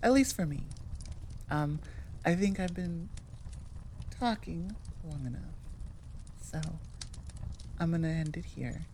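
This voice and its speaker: A woman's voice: lowish voice, animated, slow pace, pauses often, darkish tone, slight background noise. A woman hosting her own channel, chatting casually to listeners in a rambling, playful, self-correcting way. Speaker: ASMR creator, channel host. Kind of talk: casual chat outro to listeners.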